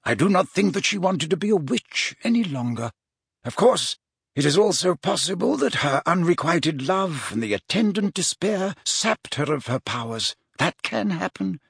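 A man voicing an elderly wizard headmaster for an audiobook, grave but kindly, measured and formal in his phrasing. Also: fairly high pitch, sing-song, creaky, husky, dry recording, studio quality, pitched above the performer's own voice, heavily acted. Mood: reflective, grave. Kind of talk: audiobook character voice.

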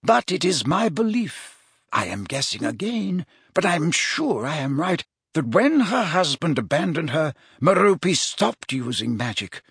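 A man voicing an elderly wizard headmaster for an audiobook, grave but kindly, measured and formal in his phrasing. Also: a little high, big pitch swings, fairly bright tone, breathy edge, dry recording, studio quality, voice pushed up, exaggerated voice. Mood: reflective, grave. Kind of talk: audiobook character voice.

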